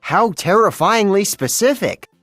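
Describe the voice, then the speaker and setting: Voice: mid-range voice, animated, measured pace, fairly bright tone, dry recording, soft background music, voice pushed up. A storybook narrator voicing a nerdy, earnest young boy who speaks formally and precisely. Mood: dismayed. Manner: precise, dramatic wording.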